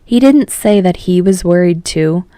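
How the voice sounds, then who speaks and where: A woman's voice: fairly low pitch, expressive, measured pace, dark tone, dry recording, clean studio sound. A woman reading scripted fiction aloud as a narrator.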